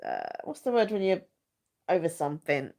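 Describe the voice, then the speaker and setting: Girl with a stuffy nose, chatty live stream ramble, lots of ums, lowish voice. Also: huge pitch swings, moderate pace, gravelly, darkish tone. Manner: chatty and rambling, searches for words, many fillers. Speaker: YouTuber hosting a live chat. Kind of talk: casual rambling live chat.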